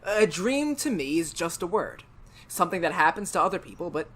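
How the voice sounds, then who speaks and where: A man's voice: sits high, very animated, brisk, a bit bright, heavily acted. A man playing a tormented, anxious teacher in 1930s-style radio drama, with an intense, melodramatic delivery. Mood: assured. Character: tormented male biology teacher, haunted and anxious.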